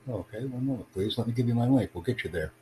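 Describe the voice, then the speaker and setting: A man hosting a livestream podcast, addressing callers in a deliberate, instructive way. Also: medium pitch, mellow. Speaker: podcast host. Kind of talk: livestream chat with online callers. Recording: clearly noisy, humming background.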